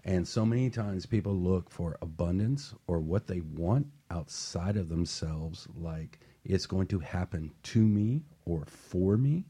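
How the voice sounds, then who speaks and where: A man's voice: sits low, lively intonation, unhurried, few pauses, dark tone, husky. A man hosting a motivational radio talk show, speaking earnestly and emphatically and repeating phrases for weight.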